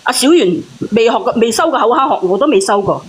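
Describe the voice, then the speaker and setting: A woman with a high voice talks quickly and insistently on a call-in advice panel. She repeats her points for emphasis in a casual, heated chat. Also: lively intonation, slight background noise.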